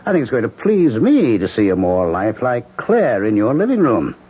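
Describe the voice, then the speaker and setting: An actor playing a calm, manipulative male ghost in an old radio drama, with a husky voice. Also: mid-range voice, moderate pace. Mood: scheming. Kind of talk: 1970s radio drama acting. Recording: tinny lo-fi old radio broadcast.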